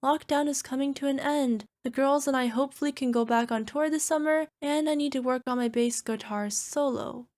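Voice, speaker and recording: High-pitched, playful little cyclops girlfriend played by a woman. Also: darkish tone, lightly acted. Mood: evasive, sheepish. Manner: evasive, cutesy excuses, drawn-out wheedling. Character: playful, sheepish flying cyclops monster girlfriend, small and defensive. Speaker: voice actor. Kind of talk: ASMR girlfriend roleplay.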